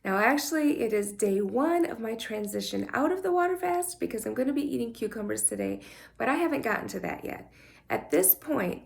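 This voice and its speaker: A woman speaks straight to the camera in an open, chatty vlog. Her voice is scratchy and thick with gravelly fry, as if she has only just woken up. She talks in a personal, candid way. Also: medium pitch. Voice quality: scratchy morning voice. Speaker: vlogger. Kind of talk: casual talk to camera.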